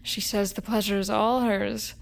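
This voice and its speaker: A woman playing a weakened, hesitant heroine with mental powers in an audio drama, halting and unsure. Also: medium pitch, flat delivery, gravelly, a little hiss or hum, lightly acted.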